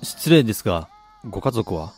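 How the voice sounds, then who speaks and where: A man's voice: fairly low pitch, sing-song, moderate pace, a little mellow, breathy edge, dry recording, soft background music, exaggerated voice. An actor playing a reflective, polite soccer fan who also narrates his own story in a radio drama. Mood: reserved. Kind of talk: Japanese radio drama acting with first-person narration.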